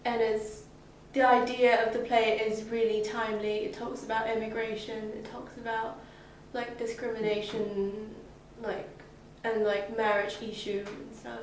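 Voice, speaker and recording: A woman's voice: a little high, level tone, unhurried, hardly any pauses. Teenage girl from Hong Kong, hesitant. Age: teens. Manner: hesitant, many "like" fillers. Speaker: summer school student. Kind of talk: interview answers. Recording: echoey room, distant mic, faint noise.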